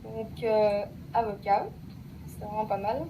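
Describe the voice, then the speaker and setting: A woman's voice: medium pitch, little pitch movement, measured pace, far from the mic, background noise. Young woman, casual and hesitant, off-mic. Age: young adult. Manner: casual, many fillers, youthful. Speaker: alumna, guest. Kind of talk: radio interview.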